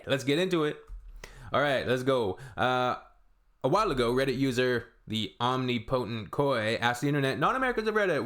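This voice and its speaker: A man's voice: mid-range voice, fairly bright tone. Offhand guy reacting casually. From Canada. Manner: rambling, offhand, shrugging asides. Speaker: reaction video host.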